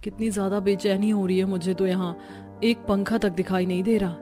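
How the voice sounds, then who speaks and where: A woman voicing a young, fretful daughter-in-law in a family cartoon, with an expressive delivery. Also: medium pitch, fairly quick, soft background music, natural voice. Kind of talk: Hindi family cartoon acting.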